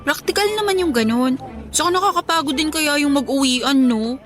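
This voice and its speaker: A woman's voice: high voice, a little fast, faint music behind, lightly acted. A woman playing a curious, teasing young woman in a radio drama. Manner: inquisitive, teasing.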